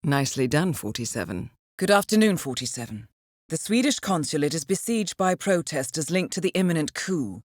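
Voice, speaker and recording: Casting: game intelligence handler, female, composed and understated, slightly low pitch, precise formal briefings, very dry recording. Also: lively intonation, no echo. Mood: cool, efficient. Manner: measured, formal, coolly understated. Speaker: mission handler. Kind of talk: scripted video game voice acting, mission briefing.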